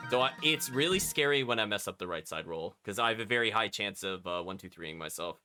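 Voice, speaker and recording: A man's voice: lowish voice, expressive, fairly quick, pushed and bright. A man giving casual live commentary as a video game streamer, thinking aloud and chatting to his viewers. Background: game music.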